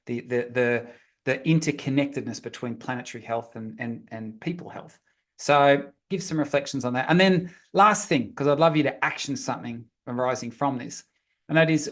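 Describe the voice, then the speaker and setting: A man facilitating an online group session, informally giving instructions in a friendly, direct way and repeating himself as he thinks. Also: fairly high pitch. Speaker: meet-up facilitator. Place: online video meeting.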